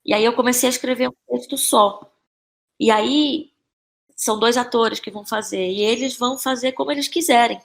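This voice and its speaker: A woman's voice: on the high side, vocal fry. A woman with a Brazilian Portuguese accent speaking as an interview guest about her creative work, casually and reflectively, often searching for words and repeating phrases. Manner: halting, searching for words, repeats phrases, frequent tag questions. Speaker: playwright and actress, podcast guest.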